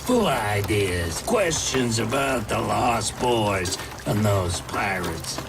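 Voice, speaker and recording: An actor playing a tender, weary old grandfather with a gravelly voice, telling a story in a folksy, unhurried way for a game trailer. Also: fairly low pitch, lively intonation, noisy background. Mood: fond, wistful. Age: older. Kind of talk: cinematic game trailer monologue. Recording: loud music under the voice.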